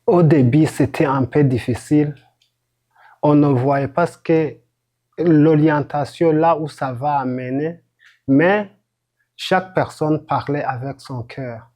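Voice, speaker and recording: A man's voice: fairly high pitch, slow, warm tone. A man from Burundi, a university student, giving an earnest personal testimony in simple phrasing.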